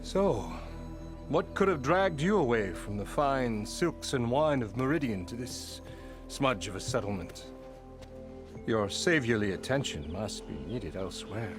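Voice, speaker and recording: An actor playing a pompous, self-important labour boss in a fantasy video game, bombastic, theatrical and mock-courteous in manner. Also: male voice, mid-range voice, animated, measured pace, music in the background, lightly acted. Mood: mocking, smug. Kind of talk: video game character acting.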